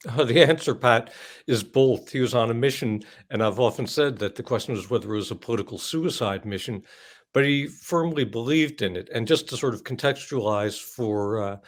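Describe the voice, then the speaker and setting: American male author, older, mid-range pitch, articulate, emphatic, explanatory, long structured sentences, interview guest. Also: normal speed.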